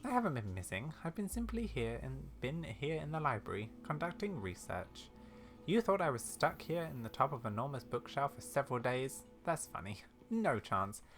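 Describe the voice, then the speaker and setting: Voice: mid-range voice, very animated, normal speed, fairly bright tone, faint music behind. A performer voicing a kindly, unflappable little old grandmother who talks in fussy, folksy endearments. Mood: amused, dismissive.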